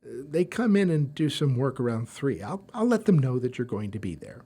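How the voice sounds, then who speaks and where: A man's voice: mid-range voice, sing-song, fairly quick, dark tone, husky. A man playing a worried, flustered professor in an audio drama, speaking hesitantly with stammers. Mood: anxious, hesitant.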